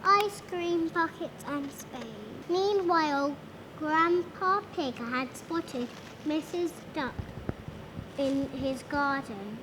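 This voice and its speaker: A little girl of about five reads a storybook aloud in a high voice. She goes slowly and haltingly, working through words with care. There is clear background noise on the amateur recording. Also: lively intonation. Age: child, about five. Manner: halting, sounding out words carefully. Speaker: young reader. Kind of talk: reading a children's storybook aloud.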